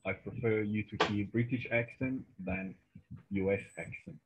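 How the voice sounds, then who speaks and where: A man's voice: fairly low pitch, big pitch swings, moderate pace, creaky, background noise. A Chilean man with Spanish as his first language, chatting and laughing in an online English class, with a headset mic. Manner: chatty, laughing, hesitant with fillers. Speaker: student in an English conversation class. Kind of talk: casual chat in a video-call class, switching to Spanish.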